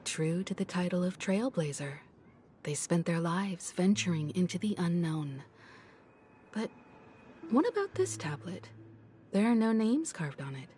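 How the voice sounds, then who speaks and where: A woman voicing a calm, thoughtful companion in a video game, speaking reflectively. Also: on the low side, unhurried, long pauses, a little hiss or hum.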